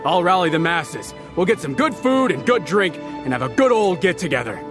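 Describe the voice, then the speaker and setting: A man's voice: high-pitched, very animated, normal speed. A man voicing a cheerful village man in a game, rallying everyone to a party. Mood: jovial. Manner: jovial, rallying. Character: cheerful male villager, eager to celebrate. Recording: background game music under the voice.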